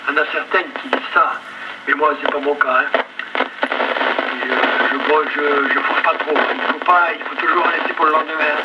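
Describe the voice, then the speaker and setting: A man's voice: fairly high pitch, steady tone, moderate pace, muffled recording. An older man chatting casually as an amateur radio operator over a two-way link. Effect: two-way radio transmission. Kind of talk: casual ham radio chat. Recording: very noisy VHF radio relay.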